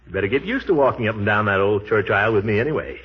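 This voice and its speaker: Actor as a pompous, swooping, blustering uncle, old radio. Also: male voice, fairly low pitch, expressive, rapid-fire, close to an everyday voice. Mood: smug. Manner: blustering, indignant, chuckling. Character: pompous, excitable middle-aged small-town bachelor uncle. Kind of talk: 1940s radio comedy acting. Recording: dull 1940s radio recording.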